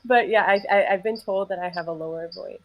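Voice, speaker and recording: A woman's voice: mid-range voice, fast talker, dry recording. A young adult woman musician in casual conversation, talking loosely with many "like" fillers and easy laughter. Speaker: singer and musician.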